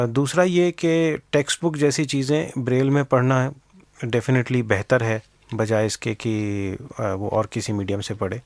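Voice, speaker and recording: A man's voice: mid-range voice, dry recording. Guy getting interviewed, explains stuff w english tech words mixed in, mid voice, very dry sound. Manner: mixes English technical terms into speech. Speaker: interview guest, expert on accessible books.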